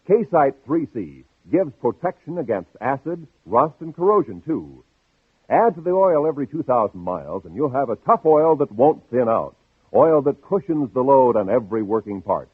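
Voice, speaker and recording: A man's voice: mid-range voice, husky, no echo. An American man reading a radio commercial in an emphatic, old-fashioned announcer style. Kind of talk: vintage radio advertisement, emphatic sales read. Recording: narrow, dry old radio recording.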